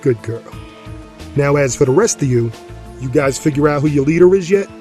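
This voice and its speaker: An actor playing a brawny middle-aged gang enforcer and reformed drinker, talking in a folksy, swaggering way in an audio drama. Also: male voice, fairly high pitch, animated, moderate pace, mellow, faint music behind, close to an everyday voice. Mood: smug menace.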